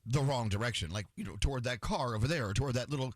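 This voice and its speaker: A man's voice: medium pitch, no room sound, clean studio sound. A man hosting a radio morning show, joking playfully and laughing often with guests and co-hosts. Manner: playful, quick to laugh and joke. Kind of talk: casual on-air banter. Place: radio studio. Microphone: studio microphone.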